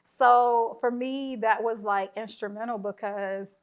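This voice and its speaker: A woman's voice: on the high side, fairly even intonation, measured pace. Woman host chatting casually. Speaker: podcast host. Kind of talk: casual chat.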